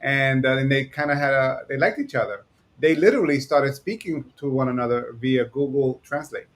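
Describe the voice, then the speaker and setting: A man from New York who owns a digital agency, talking fast and rambling in a casual chat. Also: mid-range voice. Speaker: digital agency owner, co-host.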